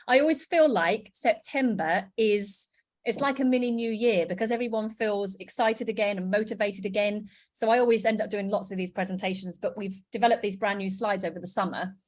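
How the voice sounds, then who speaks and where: An enthusiastic woman from the UK with a British accent gives a sales presentation over a video call. She sounds upbeat and gushing, with her voice sitting at an everyday middle pitch for a woman. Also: fairly quick. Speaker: business presenter.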